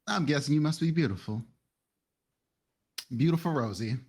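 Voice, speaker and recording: Man as a glib, husky vampire aristocrat. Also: on the high side, lively intonation, normal speed, a little mellow, voice pushed up. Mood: smooth flattery. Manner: glib, dismissive. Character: smug male vampire aristocrat, flippant and proud of lineage.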